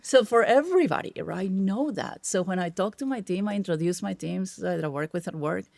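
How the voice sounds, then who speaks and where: A middle-aged woman with a Spanish accent, Spanish being her first language, tells stories with emphatic, lively energy. Her voice carries a slightly gravelly texture. The sound is dry and close. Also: medium pitch, brisk, dry recording. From Peru. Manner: animated, emphatic storyteller. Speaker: podcast guest, tech executive. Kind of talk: casual interview conversation.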